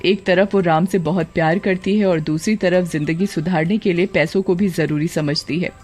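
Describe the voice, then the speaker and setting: A woman's voice: lowish voice, steady tone, normal speed, darkish tone, husky. A woman storyteller reading scripted narration for a cartoon story, over music.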